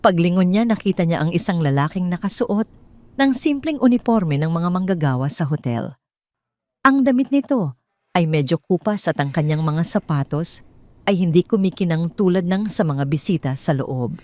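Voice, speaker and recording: A woman's voice: fairly low pitch, lively intonation, normal speed, warm tone, dry recording. A woman with a Filipino accent narrating a scripted dramatic story in audiobook style.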